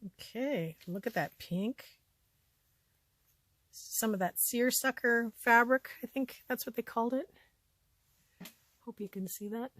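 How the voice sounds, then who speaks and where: A woman with a scratchy voice chatting casually as she shows off a thrift haul, often trailing off into quiet asides. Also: fairly high pitch, slow, pauses often, no echo. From Canada. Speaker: vlogger showing a thrift haul. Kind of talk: casual show-and-tell chat.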